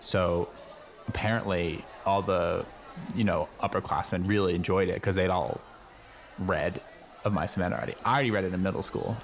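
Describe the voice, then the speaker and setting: A man playing an earnest, know-it-all high school boy in an improvised comedy radio play, rambling casually with lots of slang. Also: normal speed, faint music behind. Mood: earnest, informative. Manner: rambling, hesitant, peppered with "like" and slang.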